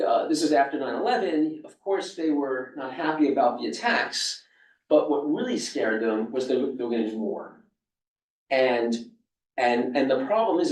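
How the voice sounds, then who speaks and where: A man's voice: medium pitch, darkish tone. A man from New York with a New York accent, giving a casual academic seminar talk full of fillers and restarts. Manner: loose and conversational, with many fillers and restarts. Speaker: lecturer. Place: seminar room. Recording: echoey room, speaker far from the mic.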